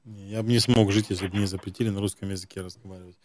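A man's voice: sits low, steady tone, rapid-fire, darkish tone. A middle-aged man hosting an unscripted phone interview as a prankster, questioning in a probing, mock-earnest way. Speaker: prank-call host. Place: indoor room with gaming chair.

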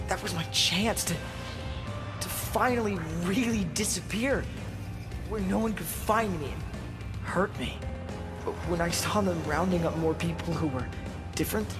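A halting, wounded young outcast, music behind. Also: male voice, high-pitched. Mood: haunted, resolved. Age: young adult. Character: wounded, withdrawn young male outcast recounting his captivity. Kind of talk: dramatic cutscene narration. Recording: music under the voice.

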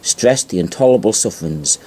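A man giving an informal show-and-tell narration, reading aloud deliberately and repeating phrases for emphasis, on an amateur home recording. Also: low-pitched, expressive, darkish tone, breathy edge. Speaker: amateur video presenter.

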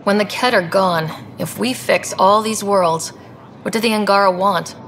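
A woman playing a young, calm and direct space explorer in video game dialogue. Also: mid-range voice, moderate pace, slight background noise, close to an everyday voice. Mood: curious, earnest. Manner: straightforward, calm.

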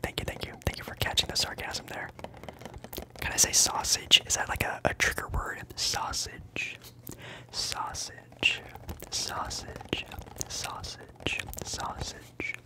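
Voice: fairly quick, poor audio. A young adult ASMR livestream host whispering casually to viewers, rambling with fillers and repeating trigger words. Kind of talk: whispered ASMR chat answering live viewers.

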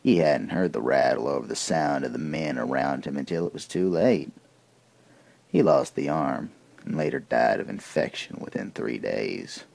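An actor playing a grim, weathered army corporal of 1880s America, telling his account in a deliberate, folksy, gravelly way for an audio drama. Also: very deep voice, lively intonation, darkish tone, no echo, exaggerated voice. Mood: grim warning. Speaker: narrator of a soldier's account. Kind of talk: dramatic first-person audio drama monologue.

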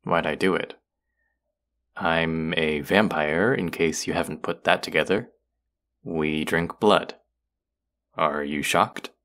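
A man's voice: very deep voice, takes it slowly, long pauses, a bit bright, full character voice. A young man playing an irritable, predatory vampire college student in an intimate audio roleplay, curt, sarcastic and condescending. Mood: smug, cold.

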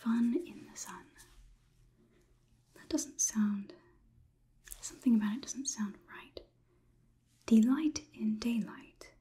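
A young woman playing a polite, curious, clinical robot overlord in a whispered ASMR roleplay, with measured, formal delivery. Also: a little high, warm tone. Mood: curious, deliberate. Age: young adult. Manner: slow, measured, deliberate, formal wording. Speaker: ASMR performer.

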